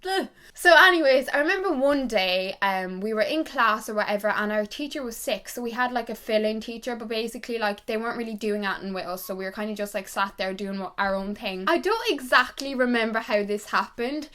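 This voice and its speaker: A young Irish woman chats straight to her viewers, her voice sitting a little high. She talks quickly and with plenty of life, telling stories from her own past. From Ireland. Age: young adult. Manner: animated, fast, full of "like" and "literally". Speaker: YouTuber. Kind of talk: chatty tag video storytelling.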